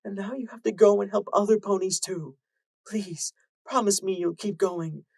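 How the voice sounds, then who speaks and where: A man voicing a desperate mother mare who speaks softly and pleads frantically. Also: high-pitched, fairly even intonation, moderate pace, gravelly, no echo, pitched above the performer's own voice. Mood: pleading.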